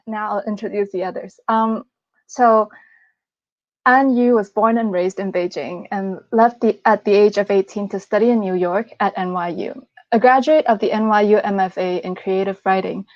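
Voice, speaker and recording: Female novelist-moderator, medium pitch, introducing panelists over muffled online audio. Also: moderate pace, no echo. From Hong Kong. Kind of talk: semi-scripted panel introduction. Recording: dull, lo-fi online call audio.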